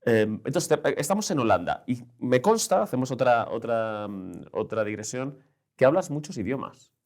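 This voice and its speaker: A man's voice: mid-range voice, lively intonation, normal speed, darkish tone. A man interviewing conversationally, phrasing things tentatively and often ending on a questioning tag. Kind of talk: conversational long-form interview.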